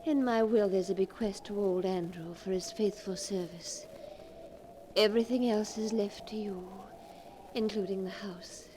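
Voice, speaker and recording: An actress playing a dying young wife, jealous and morbidly intense, in fervent 1940s radio melodrama. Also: female voice, medium pitch, fairly even intonation, unhurried, close to an everyday voice. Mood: weak, insistent. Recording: noisy, scratchy 1940s radio recording.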